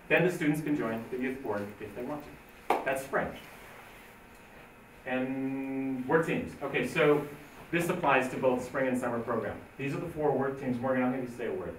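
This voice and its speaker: A man's voice: mid-range voice, fairly bright tone. A man leading a program info night, explaining plans in an upbeat, informal way. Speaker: program leader. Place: school meeting room. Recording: echoey room, distant mic, faint noise.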